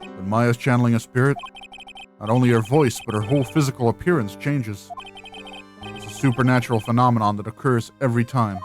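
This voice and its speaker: A woman voicing a steady, protective young male defense attorney in a video game, measured and a little wry. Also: very deep voice, faint music behind, pitched below the performer's own voice. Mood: measured, probing.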